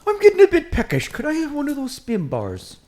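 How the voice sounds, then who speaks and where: Woman playing an excitable, chatty Irish priest. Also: sits high, fairly quick. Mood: delighted. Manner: rambling, eager, easily distracted. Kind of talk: comic audio drama acting.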